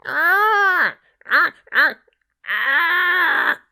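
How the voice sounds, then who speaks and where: A man voicing a vain female crow who answers with shrill, cawing cries far above his own voice. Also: expressive, drawn-out words, gravelly, pushed and bright, heavily acted. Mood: squawking.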